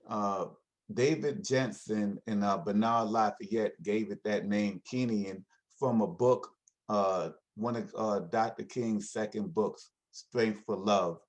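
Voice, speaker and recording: A man explaining ideas about nonviolence in an earnest, conversational way, speeding up when he presses a point. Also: lowish voice. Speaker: nonviolence trainer. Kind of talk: explanatory talk.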